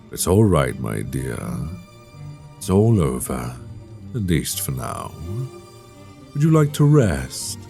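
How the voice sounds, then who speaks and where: A seductive, sadistic male vampire in an intimate audio drama. The voice is rock-bottom deep and gravelly, with swooping intonation. He is silky and commanding, condescending even when he turns mock-tender, speaking close to the microphone. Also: full character voice. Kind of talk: intimate scripted audio roleplay. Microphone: close binaural microphone.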